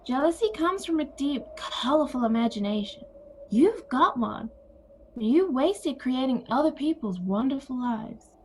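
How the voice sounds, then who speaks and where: Audio drama: actress as older sister, ex-teacher, high voice, calm and dryly humorous, some noise behind. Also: female voice, a little slow. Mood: calm, wise. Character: calm wry older sister Bea, former literature teacher. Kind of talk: audio play acting.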